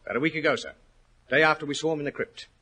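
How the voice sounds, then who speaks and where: An actor playing a plain-spoken horse trainer in a 1940s radio drama, on a noisy vintage recording. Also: male voice, a little high, very fast, sharp tone, breathy edge. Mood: earnest, worried. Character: gruff, bold English head horse trainer, respectful to gentlemen.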